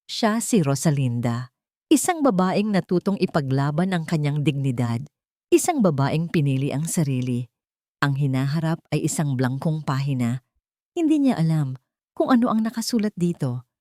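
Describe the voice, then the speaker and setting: A woman storyteller with a fairly low voice, recorded dry and close. She reads a scripted romantic drama with measured, sentimental phrasing, in the manner of an audio storybook. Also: dark tone, no room sound. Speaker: storyteller, narrator.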